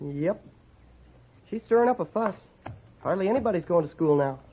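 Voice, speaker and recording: A man's voice: high-pitched, expressive, normal speed, a little hiss or hum. An actor playing an earnest, plain-spoken country boy with a rural American accent in an old-time radio western, simple and folksy. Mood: matter-of-fact. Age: child character. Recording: muffled vintage radio recording.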